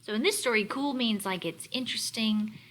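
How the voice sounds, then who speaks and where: A woman teacher leading a read-aloud lesson for young children, explaining words in a deliberate, instructional way. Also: on the high side, fairly bright tone, a little hiss or hum. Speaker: elementary teacher.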